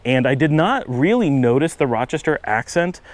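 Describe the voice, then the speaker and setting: Fast-talking man with a Buffalo accent. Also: medium pitch, expressive, dry recording. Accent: Buffalo-Cleveland American. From upstate New York. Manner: fast-talking, trying to slow down. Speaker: vlogger. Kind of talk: casual walking vlog. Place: outdoors on a windy street.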